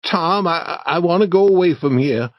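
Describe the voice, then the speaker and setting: A man's voice: a little high, expressive, unhurried, dry recording, highs cut off, voice pushed up. A man reading a story, voicing a nervous, superstitious young boy who hesitates and stammers. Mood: frightened.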